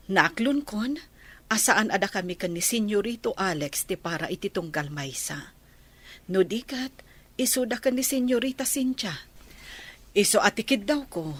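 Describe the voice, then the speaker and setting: A woman playing a forgiving, grateful woman in an Ilocano radio drama, acting earnestly. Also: mid-range voice.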